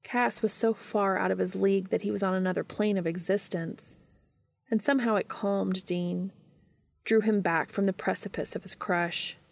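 A woman's voice: mid-range voice, steady tone, normal speed, gravelly, no room sound. A woman reading a story aloud as scripted narration. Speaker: fan fiction reader.

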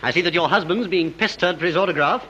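An actor playing a courteous, formal director general of broadcasting in a 1930s British film, with a plummy cut-glass English accent. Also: male voice, high-pitched, fast talker, bright, forceful tone. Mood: genial, formal. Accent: cut-glass upper-class English. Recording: muffled, hissy 1930s film soundtrack.

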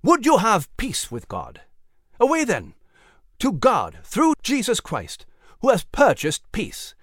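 A woman's voice: on the high side, huge pitch swings, slow pace, no echo, clean studio sound, pitched above the performer's own voice, heavily acted. A woman playing a fervent male revival preacher in a historical story, with a solemn, imploring, oratorical delivery.

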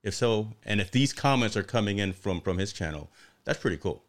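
A man's voice: on the low side, animated, a little fast. A man hosting a casual live interview show in a chatty way, often repeating phrases for emphasis.

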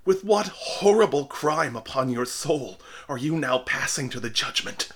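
A man's voice: on the low side, measured pace, pushed and bright, breathy edge, exaggerated voice. A man voicing an anxious elderly male minister who speaks formally and pleads. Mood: alarmed.

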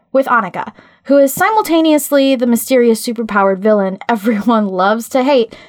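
A woman hosting a podcast, reading scripted narration in a measured, articulate way. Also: on the high side. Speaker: podcast host.

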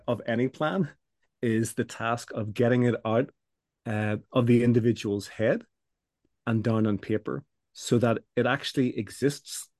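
Middle-aged male coach as podcast guest, steady mid pitch, dry close sound, measured explaining. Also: no echo. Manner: measured, explanatory, with frequent restarts. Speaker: business coach for law firms.

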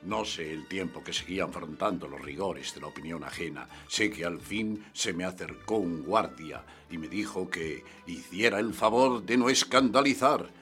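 A man narrating a radio play in the first person, telling his story with lively expression. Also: low voice, moderate pace, few pauses, soft background music.

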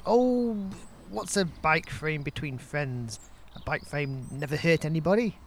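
A man plays a bossy, excitable young lad in an audio drama. His highish voice moves around a lot as he teases and dodges blame, with some background noise on the recording. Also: vocal fry. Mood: breezy, evasive. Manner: teasing, quick to wriggle out of blame. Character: cheeky, bossy, excitable young male schoolmate on an outing.

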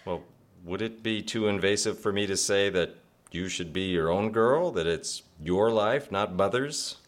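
Casting: actor as earnest male suitor, lowish voice, gently hesitant and attentive, radio play. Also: unhurried, lightly acted. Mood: tender, hesitant. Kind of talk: live radio drama acting.

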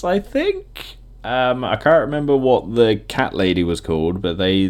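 A man's voice: on the low side, darkish tone. A British man in his thirties reminiscing in a casual, rambling, chuckling way, like a podcast host talking off the cuff.

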